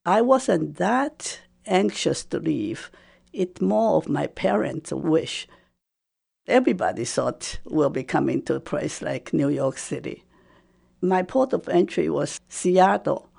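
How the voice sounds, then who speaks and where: A woman in her seventies from Taiwan, a Japanese speaker, recalling her life slowly and hesitantly with frequent fillers. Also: low voice, expressive, creaky, darkish tone, husky. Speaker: interviewee. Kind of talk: personal recollection.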